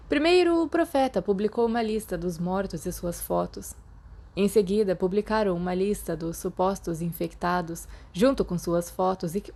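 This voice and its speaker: Woman reader, brazilian portuguese accent, podfic narration, warm mellow tone, mid pitch. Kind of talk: reading a fan fiction translation aloud.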